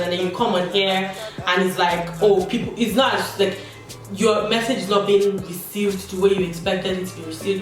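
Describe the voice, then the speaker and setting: A young woman chatting candidly while doing her makeup, with slangy asides. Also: mid-range voice. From Nigeria. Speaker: beauty vlogger. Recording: echoey room, distant mic, background music. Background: background music.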